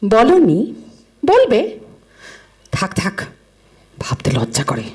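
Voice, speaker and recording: A woman's voice: medium pitch, huge pitch swings, slow, darkish tone, slightly rough, heavily acted. An actress playing an exasperated middle-aged wife and mother, scolding and sarcastic, in an audio drama. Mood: reproachful.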